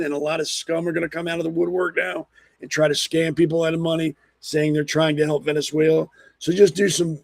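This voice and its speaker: A man hosting a political talk show, blunt and rambling with lots of ums, hoarse from a cold. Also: on the high side, dry recording. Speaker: podcast host. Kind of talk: opinionated political commentary.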